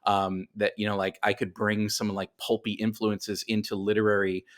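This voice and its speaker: A man's voice: fairly low pitch, flat delivery, normal speed. An American man in his forties telling stories casually as an interview guest, in a rambling, self-deprecating way. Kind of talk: casual conversational storytelling.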